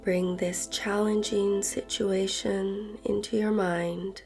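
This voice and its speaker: A woman leading a guided meditation in a soft voice that turns breathy at phrase ends, gentle and unhurried with long pauses. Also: medium pitch, flat delivery. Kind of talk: guided meditation, slow and soothing narration. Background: soft background music.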